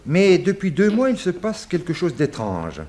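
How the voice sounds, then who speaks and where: An actor playing an earnest, worried police chief in a French radio drama, speaking in a deliberate, slightly hesitant way. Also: male voice, high-pitched, wildly swooping pitch, mellow, slight background noise. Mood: concerned, puzzled. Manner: deliberate, hesitant, matter-of-fact.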